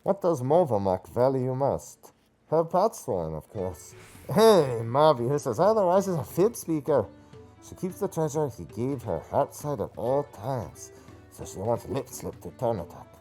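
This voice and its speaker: Male player as gossipy game moblin, childlike odd wording, soft dark timbre. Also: medium pitch, big pitch swings, a little slow, faint music behind, pitched above the performer's own voice. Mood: eager, insistent. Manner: odd compound words and childlike phrasing. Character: gossipy moblin villager with quaint broken speech. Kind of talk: video game dialogue read aloud in character.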